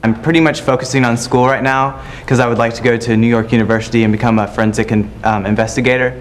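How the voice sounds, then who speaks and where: A young man auditioning on a talent show who speaks softly and hesitantly, with stumbles. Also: medium pitch, steady tone, quick pace. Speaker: auditioning contestant.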